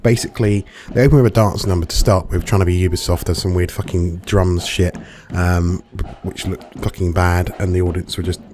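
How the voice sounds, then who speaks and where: A hungover man with a gravelly voice and dry throat, rambling through casual, sweary commentary as a gaming reviewer. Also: low-pitched, fairly even intonation, normal speed, few pauses, a little mellow, slight background noise. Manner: rambling, chatty, swears freely. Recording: music playing underneath.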